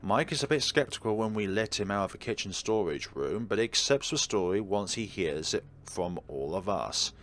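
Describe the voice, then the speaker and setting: A man's voice: fairly low pitch, expressive, moderate pace, few pauses, fairly bright tone. A man narrating and commenting on a game as he plays it. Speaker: game streamer. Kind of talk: let's play commentary and read-aloud narration.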